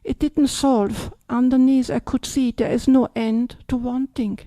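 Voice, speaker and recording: A woman's voice: on the high side, steady tone, a little slow, warm tone, no room sound. An older woman, a Buddhist nun from Austria with an Austrian German accent, giving a chatty dharma talk that keeps checking in with "yeah?" and "yes?".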